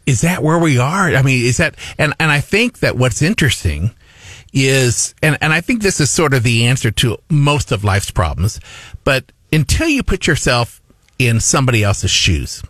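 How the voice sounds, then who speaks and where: A man's voice: medium pitch, a bit bright, no room sound, clean studio sound. A middle-aged man hosting a radio talk show, earnest and rambling, restarting his sentences often. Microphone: studio microphone.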